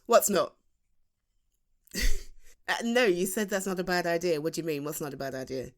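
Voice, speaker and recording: A British woman playing a playful, affectionate best friend in an intimate audio roleplay, speaking in a halting, giggly, conversational way. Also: lowish voice, expressive, close to an everyday voice. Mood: amused, incredulous. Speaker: ASMR roleplay voice performer. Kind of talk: intimate, naturalistic audio roleplay addressed to the listener.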